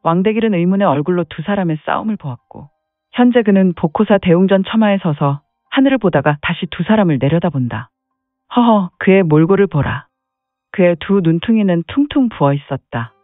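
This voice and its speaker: A man's voice: sits high, expressive, brisk, no echo. A man narrating a serial martial-arts audio drama in a dramatic storytelling style, now and then dropping in "heh heh" interjections. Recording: tinny phone-like dry sound, faint music.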